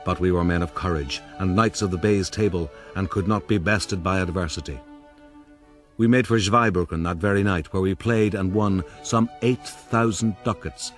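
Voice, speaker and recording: A male actor's proud old-world adventurer telling his own tale for radio. The voice is deep and measured, with dry, pompous boastfulness. Soft music plays underneath. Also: steady tone, lightly acted. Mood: boastful, wry. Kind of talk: dramatized scripted narration.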